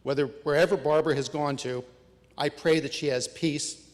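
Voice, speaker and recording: Man, eulogist, prepared remarks, measured pace, church with long reverb. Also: a little high, steady tone. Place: church sanctuary.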